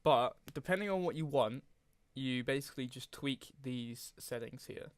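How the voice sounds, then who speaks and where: A man narrating a casual software tutorial, speaking informally and hesitantly as he thinks aloud. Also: medium pitch, moderate pace, dry recording. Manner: frequent throat clearing. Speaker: tutorial host.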